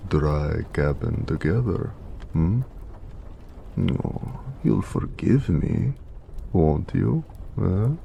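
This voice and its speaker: A very deep, heavily gravelled orc blacksmith acted by a man, speaking. He is a gentle, doting lover who teases affectionately in a very slow, unhurried drawl, as in an intimate romantic audio roleplay. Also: slight background noise, exaggerated voice. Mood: playful coaxing. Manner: affectionate, playfully sarcastic, unhurried.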